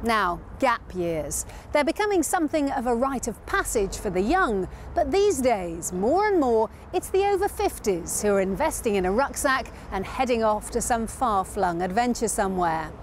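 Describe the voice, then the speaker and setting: Lively high-voiced woman presenting. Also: normal speed, hardly any pauses, a little hiss or hum. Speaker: travel show presenter. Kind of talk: scripted presenting.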